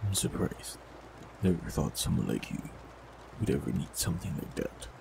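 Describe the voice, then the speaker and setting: A man performing a scripted fantasy audio roleplay, speaking calmly and gently with soft teasing, close to the mic. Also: low-pitched, normal speed, vocal fry, noisy background. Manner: calm, gentle, softly teasing.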